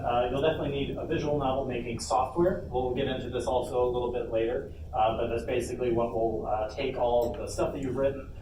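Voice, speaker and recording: A man hosting an informal convention workshop, speaking casually with hesitant pauses as he explains things to the room. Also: medium pitch. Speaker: panel host and presenter. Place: indoor convention panel room. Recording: roomy, distant mic, noisy convention room.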